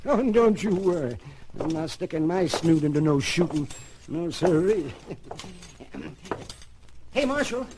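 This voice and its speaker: A man's voice: a little high, lively intonation, a little slow, long pauses. A man playing a folksy, garrulous frontier doctor with a gleefully morbid streak in an old-time radio western. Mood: wry, concerned. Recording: noisy old radio drama recording.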